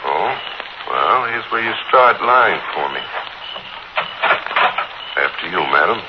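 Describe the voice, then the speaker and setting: Threatening radio-thriller gunman: low, very lively inflection, smug, muffled vintage broadcast sound. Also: slow pace. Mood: smug menace. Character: menacing armed male fugitive, smug and threatening, caught off guard. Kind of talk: 1940s radio thriller acting. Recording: dull, noisy 1940s radio broadcast.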